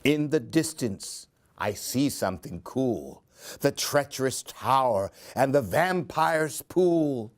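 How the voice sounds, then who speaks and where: A man reading a children's story aloud in an expressive, theatrical, singsong way. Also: mid-range voice, slow pace. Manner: theatrical, singsong rhyming delivery.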